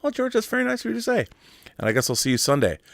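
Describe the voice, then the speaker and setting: A man's voice: high-pitched, very animated, very fast, vocal fry, fairly bright tone, dry recording, clean studio sound. A man hosting a live show in casual chat, rambling with frequent restarts and chuckles. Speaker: host.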